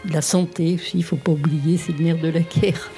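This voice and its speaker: An older woman calmly answering interview questions and sending good wishes. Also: deep voice, moderate pace, soft background music.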